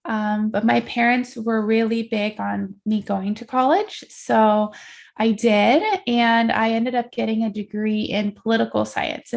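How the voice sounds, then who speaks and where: Woman from the Netherlands, gravelly fry, slightly high voice, relaxed reflective interview talk. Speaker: guest, life coach.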